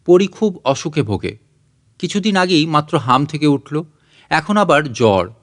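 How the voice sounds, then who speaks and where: A man's voice: fairly high pitch, sing-song, measured pace. A man reading a literary short story as scripted audiobook narration.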